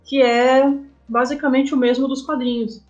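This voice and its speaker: A Brazilian woman speaking as an interview guest, explaining her creative work casually and thoughtfully in short, halting phrases. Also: high voice, level tone, a little mellow, lo-fi sound. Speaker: interview guest, comic artist and game writer. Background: background music.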